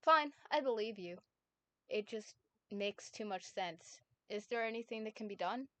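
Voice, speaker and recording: A woman's voice: mid-range voice, animated, a little slow, sharp tone, dry recording. A woman voicing a skeptical, eager teenage boy while reading a story aloud, in a dry close recording. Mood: earnest. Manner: reads dialogue in her own voice.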